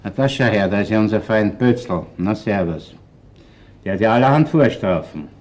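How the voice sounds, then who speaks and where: An actor playing a gruff, shrewd middle-aged Viennese chief inspector in a radio crime drama, speaking bluntly and colloquially. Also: male voice, on the low side, expressive, moderate pace, a little mellow, a little hiss or hum, natural voice. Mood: brisk, commanding. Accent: Viennese Austrian. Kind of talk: German-language radio crime drama acting.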